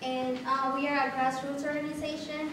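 A young woman presenting a workshop in a hesitant, halting way, recorded from a distance in a meeting room. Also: high voice, little pitch movement, heavy reverb, over music. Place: meeting room with brick walls, indoors. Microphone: distant camera microphone.

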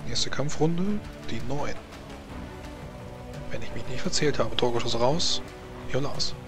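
A man's voice: medium pitch, a little slow. A man casually moderating a tabletop role-playing game as game master. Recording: music behind the voice. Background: music.

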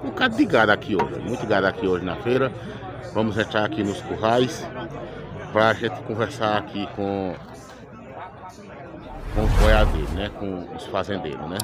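A man's voice: fairly low pitch, very animated, normal speed, pauses often. A middle-aged man talks chattily to the camera, pointing out animals as he goes. He is recorded outdoors on a handheld camera. A busy crowd murmurs all around him. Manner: chatty, pointing things out to viewers. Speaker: vlogger visiting a cattle fair. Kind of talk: casual on-the-spot commentary. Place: outdoor livestock market pens.

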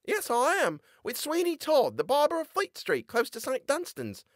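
A nervous young shop boy with a high, boyish voice that swings up and down a lot, as a man reading the story puts him on. He talks quickly and earnestly. The sound is dry and close. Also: huge pitch swings, dry recording, exaggerated voice. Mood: proud.

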